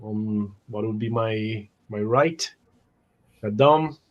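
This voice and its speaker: A man with a slightly hoarse voice from a cold hosts a tabletop role-playing session. He chats casually and trips over his words with restarts as he plays his character. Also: fairly low pitch, slow pace, muffled recording. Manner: chatty, stumbling restarts while role-playing. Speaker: host and player.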